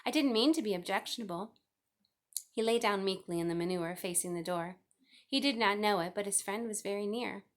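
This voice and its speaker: A woman reading a children's novel aloud as scripted narration. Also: mid-range voice. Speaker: storybook reader.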